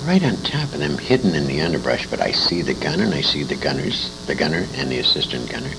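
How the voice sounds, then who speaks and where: Very deep, gravelly older American man. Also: sing-song, quick pace. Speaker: interviewee, war veteran and writer. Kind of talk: conversational war storytelling. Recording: noisy amateur recording with room ambience.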